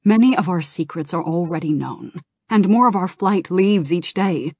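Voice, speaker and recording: A woman reading an audiobook, voicing a troubled young male dragon leader who speaks earnestly and gravely. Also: lowish voice, moderate pace, warm tone, dry recording, clean studio sound.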